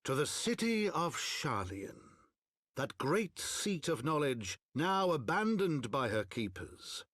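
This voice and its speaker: Male game narrator: grave fantasy chronicler, slow and measured, dry close sound. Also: fairly high pitch, very animated, a bit bright, no echo, full character voice. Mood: solemn. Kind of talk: scripted literary narration.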